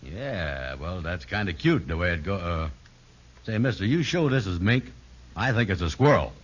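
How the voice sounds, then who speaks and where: An actor playing a simple, good-natured sidekick with dry, folksy, ungrammatical asides in a 1950s radio comedy. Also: male voice, low voice, big pitch swings, normal speed, slightly rough, heavily acted. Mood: skeptical. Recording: very noisy, muffled old radio broadcast.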